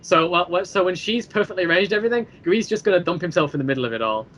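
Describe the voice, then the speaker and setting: A man in a casual podcast chat, explaining his own creative work in a rambling, halting way and repeating phrases as he goes. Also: high voice, animated, a bit bright. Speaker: guest, creator of an audio drama series.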